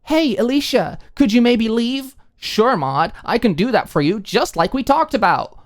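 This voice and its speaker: A woman's voice: fairly high pitch, lively intonation, a little slow, voice pushed up. A woman voicing a sarcastic, petulant young female roommate who swears freely, in an audiobook drama. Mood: mocking, bitter. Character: Alicia, bratty, sarcastic, foul-mouthed young woman roommate.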